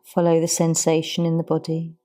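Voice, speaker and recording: Soothing low-voiced woman teaching yoga. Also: completely flat delivery, soft background music. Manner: soft, soothing, unhurried guidance. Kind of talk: guided yoga class instruction.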